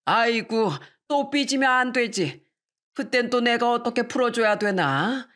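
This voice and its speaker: A woman's voice: on the high side, unhurried, fairly bright tone, voice pushed up. A woman voicing a doting, sentimental father-in-law of about seventy in a story, in a folksy, fatherly way. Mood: fond, conciliatory. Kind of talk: scripted story dialogue.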